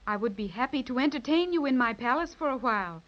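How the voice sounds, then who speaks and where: A muffled 1930s radio recording of a gracious fairy queen. Her voice is high, and she speaks with gentle, regal care and kindly authority. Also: lively intonation, quick pace. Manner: gentle, regal, carefully enunciated.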